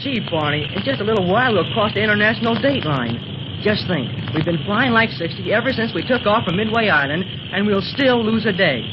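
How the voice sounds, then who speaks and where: An eager fifteen-year-old boy adventurer played by a male actor, high-voiced and cheerful. The delivery is boyish 1930s radio serial style, on a muffled, noisy recording. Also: lively intonation, fairly quick. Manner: boyish, enthusiastic.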